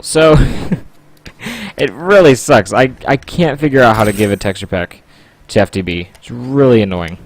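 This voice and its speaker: A man chatting casually to his audience during a livestream, rambling along with frequent ums. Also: medium pitch.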